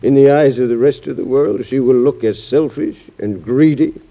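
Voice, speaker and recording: An actor playing an urgent, cautioning Secretary of State in classic radio drama style, emphatic, formal and argumentative. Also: male voice, mid-range voice, moderate pace, vocal fry, close to an everyday voice. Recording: dull band-limited old radio recording.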